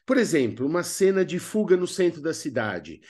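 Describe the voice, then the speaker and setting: A man's voice: on the high side, very animated, normal speed, a little mellow, breathy edge. A man with a Brazilian accent presenting an instructional lesson in a didactic way, as a workshop teacher. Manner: didactic, asks rhetorical questions and answers them. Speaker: radio theatre workshop instructor.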